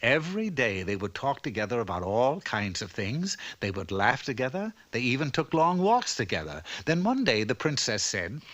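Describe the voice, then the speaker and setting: A man's voice: medium pitch, sing-song, normal speed, hardly any pauses, a bit bright. A man narrating a fairy tale as a wry, scripted storybook narrator.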